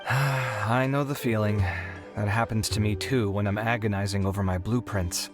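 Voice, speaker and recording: A man's voice: lowish voice, steady tone, moderate pace, music in the background, natural voice. A man voicing a kindly, frank young architect in a video game, in a conversational way. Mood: concerned.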